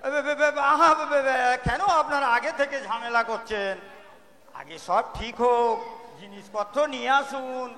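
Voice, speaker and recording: A man's voice: very high voice, normal speed, bright, forceful tone, heavily acted. A man playing a flustered, exasperated landlord uncle who stammers and exclaims, in a staged comic audio drama. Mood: alarmed. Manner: exclaiming, stammering when alarmed. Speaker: amateur actor, schoolteacher. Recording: echoey hall stage recording, audience noise.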